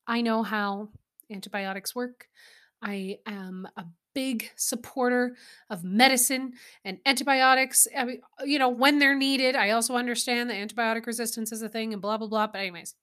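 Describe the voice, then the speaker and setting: Bright-voiced chatty woman podcaster. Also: a little high, fairly even intonation, normal speed. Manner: chatty, rambling, exasperated asides. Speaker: podcast host. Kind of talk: casual solo podcast chat.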